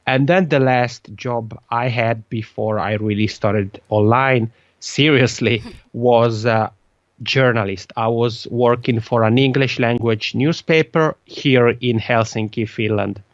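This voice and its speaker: A man with a Swiss Italian accent, Italian his first language, answers interview questions in a lively way, repeating words as he goes. His voice sits at a middle pitch, and the audio is dull and lo-fi, like a remote call, with a dry, close sound. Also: takes it slowly, no room sound. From Italian-speaking Switzerland. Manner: lively, repeats words, restarts phrases often. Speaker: podcasting consultant guest. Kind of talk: conversational interview.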